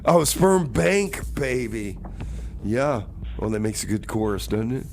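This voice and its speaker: A man's voice: mid-range voice, sing-song, unhurried, vocal fry, slight background noise. A man hosting a music radio show with casual DJ chat and comic banter, rambling in a laid-back way.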